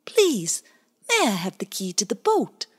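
A woman's voice: medium pitch, huge pitch swings, unhurried, breathy edge, heavily acted. A woman voicing a baby male otter in a storybook, eager and earnest.

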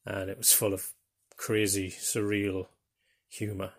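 An older man from northeast England speaks with a broad Geordie accent and a low, gravelly voice. He talks in a loose, self-deprecating way, full of fillers and restarts, as he hosts his own small podcast. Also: flat delivery, measured pace. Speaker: podcast host. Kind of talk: casual monologue.

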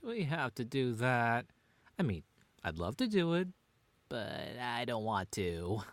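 A man's voice: medium pitch, wildly swooping pitch, measured pace, creaky, dry recording, heavily acted. A man playing a playfully bickering, doting stay-at-home dad in an audio drama, wry but earnest. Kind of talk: two-hander audio drama reading.

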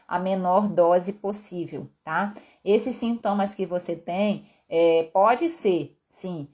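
A woman doctor with a somewhat low voice and a Pará accent, mixed with Northeastern tones. She explains health questions casually on a live stream. She talks in a friendly, patient way and ends her points with "tá?" or "né?". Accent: Brazilian Portuguese from Pará, mixed with Northeastern. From Pará, Brazil. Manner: friendly and explanatory, peppered with "tá?" and "né?" tags. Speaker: endocrinologist doctor hosting a live Q&A.

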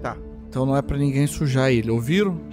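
A man's voice: mid-range voice, fast talker, music in the background. A man playing a tabletop game, casually talking through the plan with the others.

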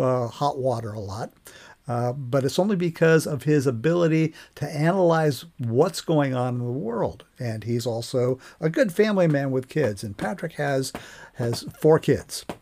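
An older man speaking as a podcast host, introducing an interview in an informal, somewhat halting conversational way. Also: mid-range voice, darkish tone.

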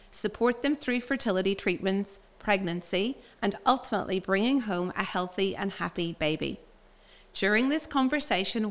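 A woman in her forties, a family doctor, hosting a podcast with a scripted intro and a measured, slightly hesitant way of asking questions. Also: mid-range voice, fairly bright tone. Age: 40s.

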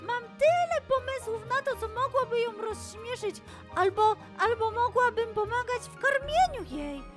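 A woman voicing an energetic, jealous little girl monkey in a children's storybook, with a whiny, emphatic, childlike delivery. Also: very high-pitched, unhurried, loud music behind the voice, voice pushed up. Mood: excited, playful.

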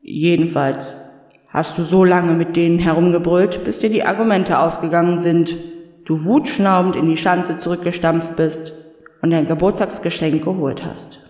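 A man voicing Death as a calm, patient spirit who speaks in a measured, dryly stern way. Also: a little high, close to an everyday voice. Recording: echoey room with long reverb.

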